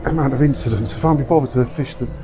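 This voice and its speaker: A man's voice: medium pitch, rapid-fire. A man chatting casually as he walks outdoors, giving sardonic, grumbling commentary on the news and often asking rhetorical questions. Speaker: vlogger hosting a commentary video. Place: outdoors, walking around an English seaside town. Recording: outdoor windy street noise.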